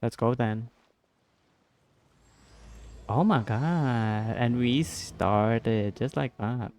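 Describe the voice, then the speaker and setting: A man giving casual playthrough reactions as a game streamer, talking back to the characters with soft interjections. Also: mid-range voice, drawn-out words, a little hiss or hum. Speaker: gameplay streamer.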